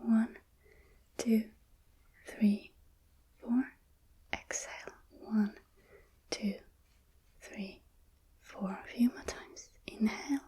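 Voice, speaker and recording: Calm female breathing instructor, whispered delivery, slow counts, mellow and soft. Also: a little high, husky. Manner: calm, counts slowly aloud. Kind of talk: whispered guided breathing lesson.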